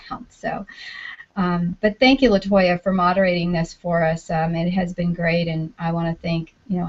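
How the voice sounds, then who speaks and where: A woman's voice: fairly low pitch, a little mellow. A woman hosting a casual group video chat, chatty and self-joking, talking over a call mic. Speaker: podcast network host. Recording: dull lo-fi online call audio.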